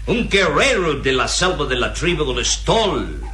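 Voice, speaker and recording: A boastful commanding captain. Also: male voice, on the high side, sing-song, measured pace, sharp tone, slight background noise, exaggerated voice. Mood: self-assured. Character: gruff adult male boat captain, confident. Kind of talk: 1960s-style radio drama acting.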